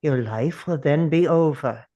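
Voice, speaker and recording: A man reading an ominous, solemn narrator in a measured, portentous way, as a dramatic voice-over at a script table read. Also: fairly high pitch, mellow, muffled recording, natural voice. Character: ominous, solemn narrator warning of relentless pursuit.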